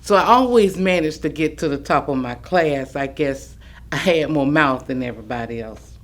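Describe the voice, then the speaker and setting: A woman's voice: sits low, expressive, moderate pace. An actress playing a chatty, self-assured elderly Southern woman, cheerfully boasting about childhood in a staged reading. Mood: proud, playful. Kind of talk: staged reading of oral history testimony.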